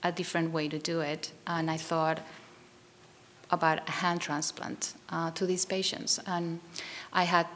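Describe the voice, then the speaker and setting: A woman in her forties with a Colombian Spanish accent and Spanish as her first language, a surgeon speaking at a podium in a measured way, with many ums and uhs. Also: low-pitched, level tone, fairly bright tone, a little hiss or hum. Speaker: transplant surgeon. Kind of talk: panel talk with slides. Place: wood-paneled lecture hall, at a podium. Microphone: podium mic.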